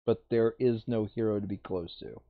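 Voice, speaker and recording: A man's voice: on the low side, moderate pace, dry recording. A man in a casual board game session, reading out moves and explaining rules in an instructive, insistent way. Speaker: tabletop game player reading out enemy moves. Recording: dull, lo-fi microphone sound.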